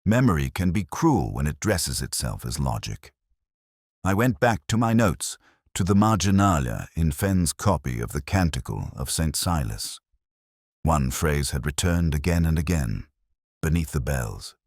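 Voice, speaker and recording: A man playing a melancholy, reflective police detective, narrating his case in a measured, literary, brooding manner for a mystery audio drama. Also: a bass voice, big pitch swings, husky, no room sound, studio quality, heavily acted. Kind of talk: scripted first-person noir-like mystery narration.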